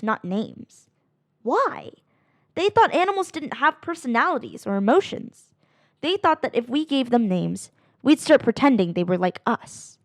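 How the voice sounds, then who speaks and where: Very high, lively woman reading to children. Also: dry recording, clean studio sound. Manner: animated, dramatic emphasis with drawn-out phrases. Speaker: read-aloud narrator of children's books. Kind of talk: expressive children's picture book read-aloud.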